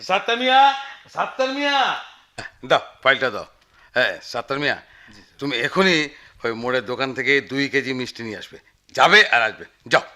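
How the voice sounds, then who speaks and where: An actor playing a fussy, effusive newspaper office manager in a radio drama, with repetitive, hesitant speech. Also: male voice, medium pitch, sing-song, fairly quick, pauses often, sharp tone. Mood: bossy, exasperated. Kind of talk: Bengali radio drama acting.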